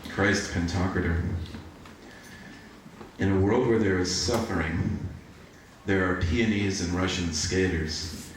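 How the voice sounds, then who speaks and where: A man with a low voice reads poems aloud at a slow, measured pace. He is heard from far off in a very echoey room. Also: steady tone, slight background noise. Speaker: poetry reader. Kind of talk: live poetry reading at a book launch. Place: bookshop event, recorded from a distance.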